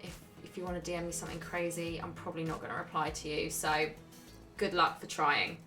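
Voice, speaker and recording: A woman's voice: low-pitched, little pitch movement, fairly quick, bright, forceful tone, soft background music. A young woman answering viewer questions in a casual, chatty way, with frequent "um"s and a laid-back delivery. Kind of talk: casual Q&A chat.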